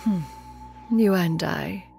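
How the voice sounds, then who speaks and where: A woman's voice: lowish voice, takes it slowly, gravelly, mellow, faint music behind, full character voice. A woman playing an aloof, wise immortal crane master in a video game, speaking in formal, archaic diction. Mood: solemn, regretful. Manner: formal, archaic diction, refers to self as "one".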